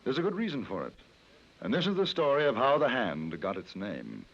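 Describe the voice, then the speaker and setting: An urbane English reporter, animated intonation. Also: male voice, medium pitch. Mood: grave, storytelling. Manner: measured, literate. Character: urbane English newspaper reporter narrating his western journal. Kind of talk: 1950s radio drama narration. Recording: dull old radio recording, loud music.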